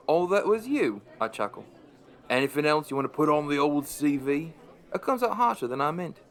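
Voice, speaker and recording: A man acts as a middle-aged pub landlord narrating his own tale in a radio play. His fairly high male voice is dry and understated, with the wry air of an unimpressed storyteller. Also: very animated, normal speed, some chatter behind. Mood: dry, amused.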